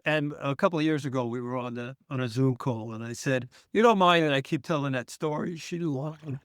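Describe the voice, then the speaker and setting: Older man, mid pitch, dry sound, casual anecdotes, wry timing, interview guest. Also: a little fast, no echo. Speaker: guest, former broadcast news engineer.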